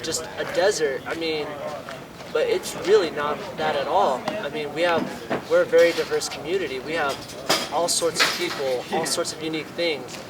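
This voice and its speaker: A man's voice: a little high, steady tone, moderate pace, over a noisy crowd. A nineteen-year-old man talking casually about parkour in an interview, rambling with lots of "I mean" fillers, recorded outdoors on a campus. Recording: distant mic, busy outdoor noise.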